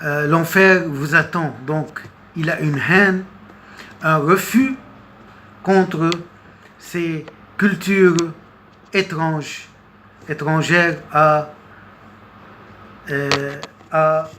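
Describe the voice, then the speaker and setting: Very slow, halting man giving commentary. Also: a little high, fairly even intonation, bright, forceful tone. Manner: halting, hesitant, with long pauses and repeated words. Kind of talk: unscripted political commentary monologue. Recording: noticeable background noise.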